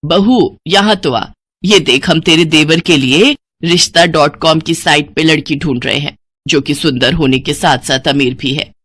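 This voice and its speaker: A woman's voice: sits low, measured pace, a bit bright, breathy edge, no echo, voice pushed down, heavily acted. Woman acting a scornful, domineering mother-in-law. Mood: taunting. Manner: taunting, disapproving.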